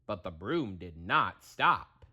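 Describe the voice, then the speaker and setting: Slow, very expressive man reading a storybook. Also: sits low, very noisy. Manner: dramatic, deliberate storytelling. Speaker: storybook narrator. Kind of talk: children's read-aloud narration.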